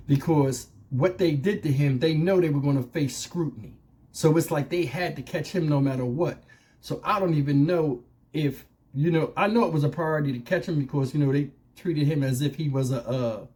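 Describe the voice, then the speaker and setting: Mellow-voiced man giving casual commentary. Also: fairly high pitch. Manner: colloquial, conversational, punctuated with rhetorical questions. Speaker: YouTube commentary host.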